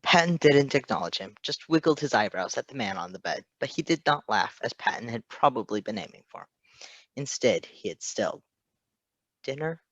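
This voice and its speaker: Male story narrator, mid pitch, expressive reading, dry close recording. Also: a little fast, no room sound, highs cut off. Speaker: podfic reader.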